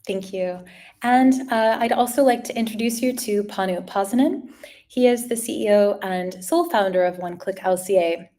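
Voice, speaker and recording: A woman's voice: mid-range voice, dark tone. A woman hosts a live online webinar. Her introductions are upbeat and scripted, with an occasional filler slipping in. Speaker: webinar host.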